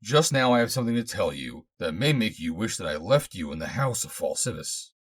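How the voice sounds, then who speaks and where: A man playing an honourable adventurer who speaks formally and earnestly, commanding yet gentle, in an adventure story. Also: on the low side, big pitch swings, fairly quick, husky. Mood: grave.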